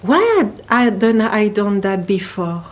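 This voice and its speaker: A woman reads a picture book aloud at a slow, unhurried pace. Her pitch sits in an ordinary middle range, and she leans on key words with deliberate, dramatic emphasis, as an expressive storyteller for children. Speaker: picture book reader at a library.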